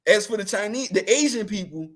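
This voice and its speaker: High-voiced man riffing with slang. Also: lively intonation, brisk. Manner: slangy, rambling and laughing. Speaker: host. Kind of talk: comedic casual chat.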